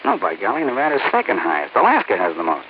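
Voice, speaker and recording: An actor playing a blustering, gruff husband in an old radio advertising skit. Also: male voice, medium pitch, huge pitch swings, fast talker. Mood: blustery. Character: blustering middle-aged husband. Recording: dull old radio recording with hiss.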